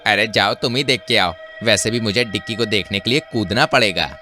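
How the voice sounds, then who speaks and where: A man's voice: fairly low pitch, brisk, bright, forceful tone. Man acting a cheeky little city guy, music behind. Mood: uneasy. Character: short, cheeky, jumpy young man from the city. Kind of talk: animated Hindi horror-comedy story acting.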